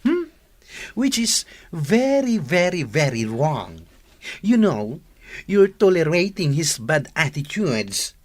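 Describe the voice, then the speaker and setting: A woman's voice: deep voice, sing-song, slow pace, darkish tone, heavily acted. A woman with a Filipino accent playing an outspoken, protective young friend, scolding emphatically in a radio drama. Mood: exasperated. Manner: exclamatory, emphatic scolding, code-switches into English.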